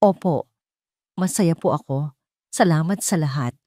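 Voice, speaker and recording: A woman's voice: sits low, a little slow, dark tone, dry recording, clean studio sound. A woman voicing a humble, polite young woman with a hesitant, deferential manner in an audio drama. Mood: submissive.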